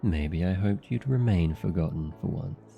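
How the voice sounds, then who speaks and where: A man's voice: low voice, normal speed, creaky, highs cut off, soft background music. A man playing a cold, surly younger demon brother, curt and protective, in an ASMR fantasy roleplay. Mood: irritated. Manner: curt, serious, hostile.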